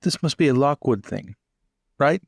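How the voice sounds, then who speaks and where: A man's voice: mid-range voice, animated, moderate pace, creaky, husky, no room sound, clean studio sound. A man playing in a tabletop game, putting in brief bantering remarks.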